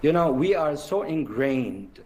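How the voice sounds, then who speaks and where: A man from Jerusalem appears as a guest on a television news program, his voice somewhat higher than most men's. He talks firmly and stresses his points with emphasis. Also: sing-song, slow, darkish tone. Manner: firm, emphatic. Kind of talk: live TV news interview.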